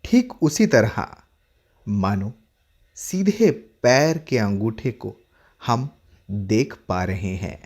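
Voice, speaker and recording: A man working as a yoga instructor, leading a guided relaxation in short instructive phrases with long pauses between them. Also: fairly low pitch, expressive, darkish tone.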